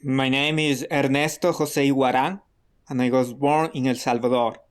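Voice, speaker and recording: Man playing a measured, cooperative man under questioning. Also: medium pitch, fairly even intonation, clear, resonant tone, natural voice. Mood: measured, compliant. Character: cooperative male Salvadoran security recruiter under questioning. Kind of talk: interrogation answers in a radio play.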